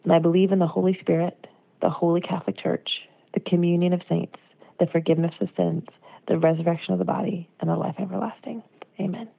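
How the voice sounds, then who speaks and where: An American woman with a low voice and plenty of vocal fry shares an informal devotional. She is conversational, reflective and earnest. The sound is thin and narrow, as on a phone voicemail. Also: fairly even intonation, fast talker. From United States. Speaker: devotional speaker.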